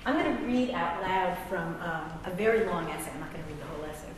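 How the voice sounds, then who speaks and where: A woman's voice: fairly low pitch, quick pace, a crowd in the background. A middle-aged woman with an American accent reading a personal essay aloud, with wry, self-deprecating, conversational asides. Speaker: author and English professor. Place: large reverberant room at a live reading. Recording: very echoey hall, faint background noise.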